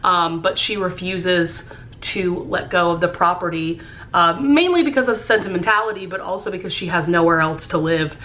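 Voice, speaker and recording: A woman's voice: lowish voice, normal speed, fairly bright tone. A young adult woman chatting casually about books while doing her makeup at home, upbeat and full of "um" and "like". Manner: chatty and upbeat, many fillers like "um" and "like". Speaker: book vlogger. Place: room at home lit by a lamp.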